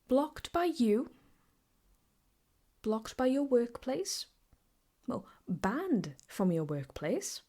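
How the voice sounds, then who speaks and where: A woman playing an obsessive, possessive, sweetly menacing ex-girlfriend in an improvised ASMR roleplay, teasing and often slipping into breathy whispers. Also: fairly high pitch, big pitch swings, very slow, pauses often, mellow, full character voice. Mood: hurt, accusing.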